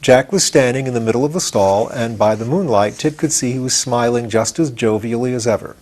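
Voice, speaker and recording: A man's voice: medium pitch, brisk, a little mellow. An older man narrating a children's storybook as an audiobook reader.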